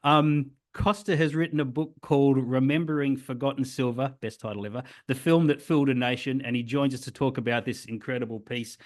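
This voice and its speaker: Enthusiastic podcast host. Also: male voice, mid-range voice, steady tone, brisk, few pauses. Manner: enthusiastic, admiring. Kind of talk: podcast interview.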